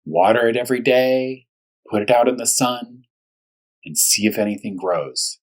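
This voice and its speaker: A man speaking as a first grade teacher at storytime, talking to young children in simple, short, repeated phrases, with a husky voice. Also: mid-range voice, normal speed. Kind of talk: storytime read-aloud and discussion for young children.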